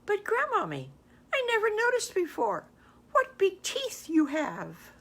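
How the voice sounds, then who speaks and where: A sweet, eager little girl from a storybook, as a woman puts her on, her voice squeaky and high. She sounds polite and wide-eyed, with lively rises and falls. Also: a little slow, full character voice. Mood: wondering.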